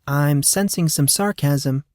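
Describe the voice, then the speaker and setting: A woman's voice: low voice, slow, darkish tone, no echo, studio quality, voice pushed up. A man voicing a caring woman who sounds encouraging but slightly worried. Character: warm, caring woman seeing a teen off.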